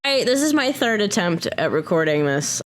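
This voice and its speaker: An American woman talking casually and candidly, unscripted, in an informal and halting way, like a creator speaking to her audience. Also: mid-range voice, sing-song. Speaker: video creator and mod developer. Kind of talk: casual, unscripted talk to camera.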